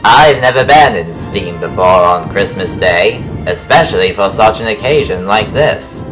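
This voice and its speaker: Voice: deep voice, expressive, measured pace, rough recording. A performer voicing Toby, a kindly old male tram engine, in a fan audio drama. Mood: friendly. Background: loud music.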